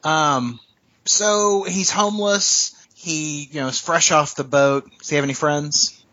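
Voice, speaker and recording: A man's voice: a little high, unhurried, bright, forceful tone, highs cut off. A man as game master, casually questioning a player and explaining rules in an agreeable, tentative way. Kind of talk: casual interview-like chat about tabletop gaming.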